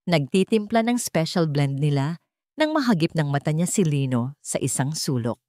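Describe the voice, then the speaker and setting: A woman's voice: sits low, darkish tone, no echo, studio quality. A woman with a Filipino accent reading a scripted romantic drama story as its narrator.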